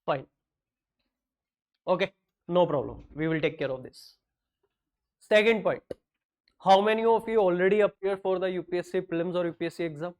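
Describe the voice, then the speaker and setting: A man's voice: sits high, a little mellow. A middle-aged man from North India, whose first language is Hindi, teaching a classroom lecture with a North Indian accent and joking asides. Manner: mixes English with quick Hindi asides, joking.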